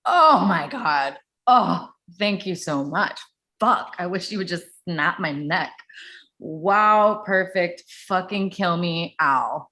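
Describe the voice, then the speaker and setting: A woman's voice: on the low side, expressive, takes it slowly, sharp tone, exaggerated voice. An actress playing a dramatic, blunt, foul-mouthed woman in a staged play reading. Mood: groaning relief. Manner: exclamatory, blunt. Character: dramatic, foul-mouthed woman getting her back cracked.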